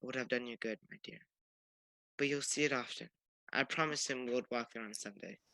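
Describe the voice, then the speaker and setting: A young man playing a gentle, grieving father in a radio play, tender and earnest. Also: mid-range voice, fairly even intonation, brisk, no room sound. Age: young adult. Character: gentle grieving father comforting his family. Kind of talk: theatrical radio play acting.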